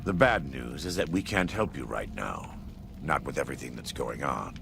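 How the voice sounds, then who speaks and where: A man playing a solemn, devout war leader in a video game, gravelly, measured and gravely courteous. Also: very deep voice, noisy background. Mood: somber. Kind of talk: video game character dialogue.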